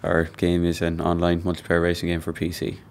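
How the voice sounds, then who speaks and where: Man, team leader; very deep, flat, quick, crisp. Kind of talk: casual interview answers.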